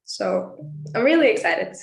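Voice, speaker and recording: A woman's voice: medium pitch, animated, measured pace, creaky, echoey room, faint music behind, poor audio. An eighteen-year-old woman from Iceland, a pageant contestant answering interview questions earnestly and laughing often. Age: young adult.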